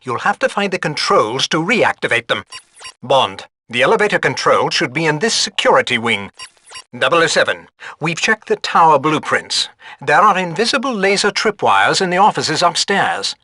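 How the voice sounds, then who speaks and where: Bright-voiced, fussy British spy gadget master. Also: male voice, fairly high pitch, big pitch swings, moderate pace, exaggerated voice. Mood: brisk, instructive. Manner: formal, clipped, dryly exasperated. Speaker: mission support quartermaster. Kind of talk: video game mission briefing voice acting.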